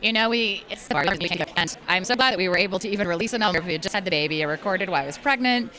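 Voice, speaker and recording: A woman voice actress and singer chatting in a convention interview, bubbly and quick to laugh. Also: medium pitch, bright, forceful tone.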